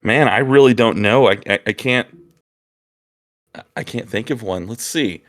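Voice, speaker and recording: A man's voice: mid-range voice, measured pace. A man co-hosting a casual geeky chat, agreeable and thinking aloud as he goes. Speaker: co-host of a hobby video channel.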